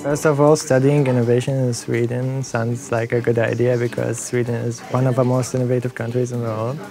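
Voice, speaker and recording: A man's voice: mid-range voice, flat delivery, a little fast. A man in his twenties from Iraq with an Iraqi accent, speaking unscripted as an engineering student in an interview. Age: 20s. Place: busy classroom studio, indoors. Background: soft background music.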